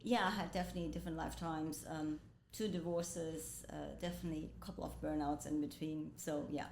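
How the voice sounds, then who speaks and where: A woman's voice: fairly even intonation, a little fast. A woman who grew up between Indonesia, Germany and the US, telling her life story as a guest in a reflective way. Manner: reflective, frequent soft laughs. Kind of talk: personal storytelling in conversation. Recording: roomy echo with faint rumble.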